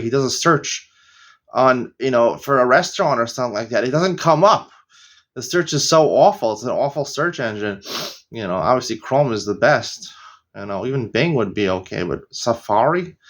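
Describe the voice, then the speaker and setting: A middle-aged American man teaching a casual daily Talmud lesson, rambling into opinionated asides and often clearing his throat. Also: medium pitch. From United States. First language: English.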